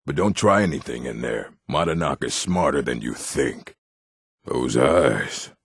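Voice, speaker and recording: Blunt video game orc, dry close sound, rasping, widely swinging pitch, surly, curt. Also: male voice, lively intonation, a little slow, no echo, heavily acted. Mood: menacing, grudging. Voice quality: gravelly, raspy. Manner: curt, brusque. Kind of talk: video game character acting.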